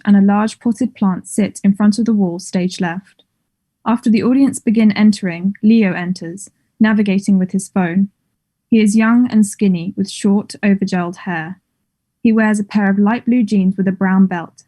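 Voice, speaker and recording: Mellow woman reading stage directions. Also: mid-range voice. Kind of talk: scripted narration at a table read.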